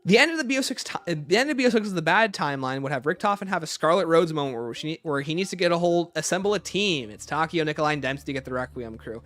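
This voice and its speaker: A man's voice: fairly high pitch, expressive, quick pace, few pauses, fairly bright tone, faint music behind. A man hosting a livestream, casually reading out questions and answering them with emphatic opinions.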